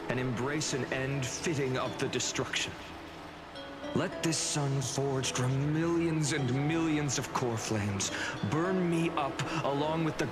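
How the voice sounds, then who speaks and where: An actor voicing a solemn, gentle and resolute male hero in a video game, with measured, earnest delivery and dramatic pauses. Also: medium pitch, natural voice. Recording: game audio under loud music.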